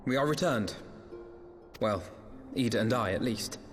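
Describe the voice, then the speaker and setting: A man's voice: mid-range voice, a little slow, faint music behind, close to an everyday voice. Man playing an earnest, formal scholar in reverb. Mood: grave. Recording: reverberant hall sound.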